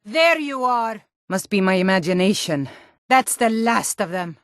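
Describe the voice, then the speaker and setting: A woman's voice: medium pitch, expressive, a little slow, no room sound, studio quality, lightly acted. An actress playing a stern, suspicious, hot-tempered Nord townswoman in a fantasy video game, speaking in a blunt, challenging way. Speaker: game character voice.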